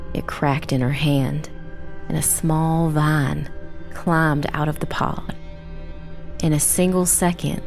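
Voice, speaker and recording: A woman playing a young girl survivor who narrates a dark fantasy tale in the first person, measured and grave. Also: deep voice.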